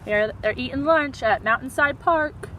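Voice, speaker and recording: A woman teacher reading a children's story aloud in an animated storytelling style. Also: high voice, normal speed, slight background noise.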